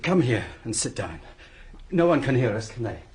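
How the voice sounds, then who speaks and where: An actor playing an anxious, secretive gentleman in a radio drama, confiding urgently in a rough, husky voice. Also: mid-range voice, sing-song, moderate pace, close to an everyday voice. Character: anxious, secretive middle-aged wealthy gentleman.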